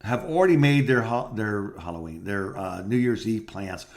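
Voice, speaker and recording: A man's voice: lowish voice, animated, moderate pace, a little mellow. A middle-aged man chatting casually as a co-host, reminiscing in a rambling storytelling way. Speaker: podcast co-host, former radio host.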